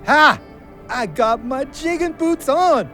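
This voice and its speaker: A man's voice: very high voice, animated, takes it slowly, bright, forceful tone, soft background music, heavily acted. A man playing a joking, loyal hunting buddy who grows wary, in an audio drama. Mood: teasing. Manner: teasing, colloquial.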